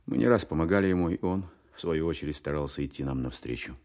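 A man's voice: extremely low pitch, animated, fast talker, darkish tone. An actor playing a wartime officer who narrates his own story in an understated, confiding way, in a radio drama. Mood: reflective. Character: reflective male wartime counterintelligence captain narrating his story. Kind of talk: first-person dramatised narration.

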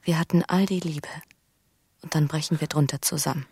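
An actress playing an embittered, menacing lover in a German radio drama, with a breathy, husky half-whisper and hushed intensity. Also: female voice, low-pitched, steady tone, brisk, no echo, heavily acted. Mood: rueful. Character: embittered, menacing female lover, wounded and cold.

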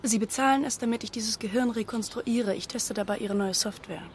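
A woman's voice: fairly high pitch, a little fast, slight background noise, natural voice. An actress playing a laconic woman scientist in a radio drama, cool, dryly teasing and probing. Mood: dry, wary. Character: cool, dryly teasing female neural researcher. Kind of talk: naturalistic radio drama dialogue.

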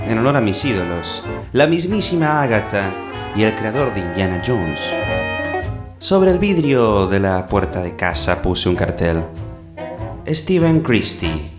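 An actor playing a naive, self-important amateur detective in a comic radio play, deadpan and theatrical, with an Argentine accent. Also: male voice, on the low side.

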